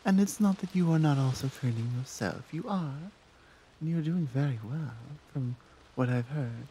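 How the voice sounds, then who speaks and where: A woman playing a caring, earnest tigress monk in a soft-spoken ASMR roleplay, with a breathy, measured, confiding delivery. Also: a bass voice, slight background noise, low-quality recording. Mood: confiding, thoughtful.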